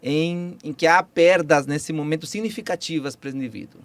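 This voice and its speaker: A man's voice: fairly high pitch, a little fast, no echo. A man in his forties from Peru with a Peruvian Spanish accent, explaining biology as a podcast guest, hesitant with many fillers and restarts.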